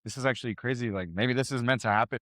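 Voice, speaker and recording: Young dj guy, relaxed and rambly, says like and bro a lot, dry sound. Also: mid-range voice, rapid-fire, no room sound. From South Texas. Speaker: DJ guest. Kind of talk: casual chat. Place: barbershop.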